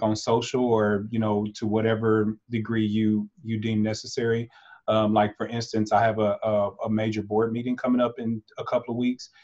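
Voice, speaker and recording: Low flat guy on a dull zoom line, lots of ums, slow and careful. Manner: halting, lots of ums, measured. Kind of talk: casual video call meeting. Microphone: video call.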